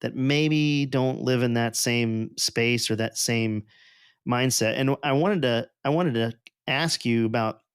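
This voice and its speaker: A man's voice: medium pitch, takes it slowly, clear, resonant tone, dry recording, clean studio sound. A man hosting a podcast, talking in a casual, profane and rambling way. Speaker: podcast host.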